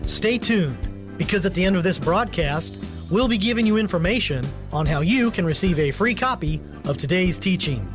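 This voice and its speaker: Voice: mid-range voice, expressive, moderate pace, over music. An announcer reading a scripted introduction for a religious radio broadcast.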